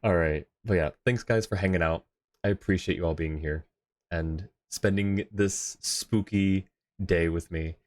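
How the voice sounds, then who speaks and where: A man's voice: deep voice, a little slow. A man streaming and chatting casually with viewers, laughing often in an easygoing, rambling way.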